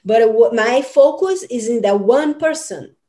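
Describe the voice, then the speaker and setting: Mellow-voiced woman, Portuguese native speaker. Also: a little high, lively intonation, unhurried. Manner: rambling, filler-heavy, earnest coaching tone. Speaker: Akashic records teacher. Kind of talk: casual interview answers.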